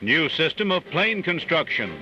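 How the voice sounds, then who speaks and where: A man's voice: on the high side, sing-song, unhurried, very noisy. A man with an American accent reading formal scripted narration as a 1940s newsreel announcer. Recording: muffled 1940s newsreel soundtrack. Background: loud music.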